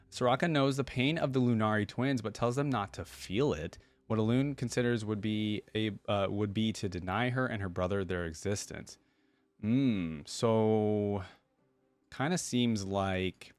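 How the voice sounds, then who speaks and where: Low-voiced man reading aloud. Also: no echo. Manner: thinks aloud in asides between read passages. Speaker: reaction video host. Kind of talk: reading wiki text aloud with casual commentary.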